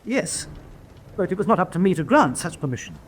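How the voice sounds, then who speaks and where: A man's voice: sits high, very animated, brisk, slight background noise. An actor playing an anxious, defensive U-boat lieutenant in a radio drama, strained as he justifies himself.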